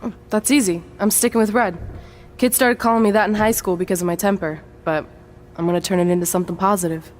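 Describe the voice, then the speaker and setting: A woman's voice: mid-range voice, steady tone, quick pace, natural voice. Woman as an upbeat young wrestler, noisy background. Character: supportive, confident young female wrestler trainee. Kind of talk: video game cutscene dialogue.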